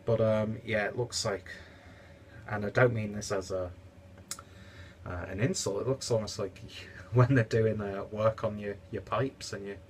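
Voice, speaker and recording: A young man talks to his webcam from a home kitchen, his lowish voice stuffy and congested. He rambles on chattily with plenty of fillers. A faint hiss sits under the recording. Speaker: beer reviewer. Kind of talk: casual vlog review.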